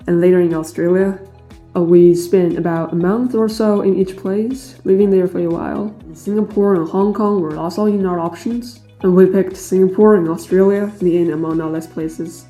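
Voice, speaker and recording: Calm hesitant client guy, says uh a lot, woman dubbing him, lowish mellow voice. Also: lightly acted. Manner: hesitant, frequent "uh" fillers. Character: middle-aged male immigrant client, calm businessman. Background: faint background music.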